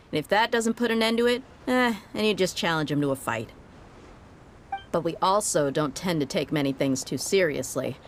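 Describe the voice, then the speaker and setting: A woman's voice: lowish voice, slight background noise, natural voice. A woman acting a blunt, confident young female mercenary in a video game, with an easygoing, teasing way of talking. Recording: music behind the voice.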